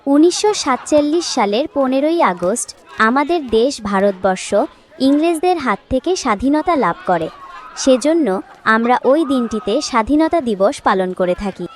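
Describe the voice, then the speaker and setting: Woman acting high-pitched village schoolteacher. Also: animated, moderate pace, slight background noise. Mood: explaining. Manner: instructive, addressing children. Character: female village school teacher, kindly and instructive. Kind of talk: children's cartoon voice acting.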